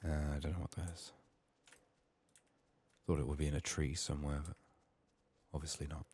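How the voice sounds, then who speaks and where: A man softly narrating his video game in an ASMR style, musing to himself in a hushed, slightly strained, under-the-weather voice. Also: extremely low pitch, little pitch movement, moderate pace, slightly rough. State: sick, strained voice. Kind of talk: soft-spoken, partly whispered ASMR game commentary.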